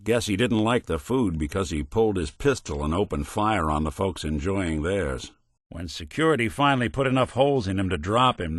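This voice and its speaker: A man's voice: low-pitched, a bit bright, no echo, studio quality. A male actor playing a world-weary, principled android detective in a video game, with a wry, folksy hardboiled way of talking and old-fashioned slang. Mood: wry storytelling. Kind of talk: video game companion dialogue, noir detective.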